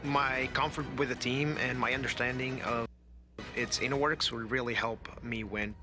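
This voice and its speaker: A man's voice: medium pitch, fairly even intonation, normal speed. A man from Portugal with a Portuguese accent, speaking as a competitor in a pre-match interview. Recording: music under the interview. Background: music.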